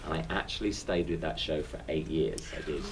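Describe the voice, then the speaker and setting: A man with a low voice and a London accent tells stories about his stage career in a relaxed, chatty way and often restarts mid-sentence. He sits some distance from the microphone, and steady noise hangs behind him. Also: fairly quick. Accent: London English. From England. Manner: chatty anecdotal storyteller with frequent restarts. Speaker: interview guest, stage actor. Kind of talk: casual interview chat.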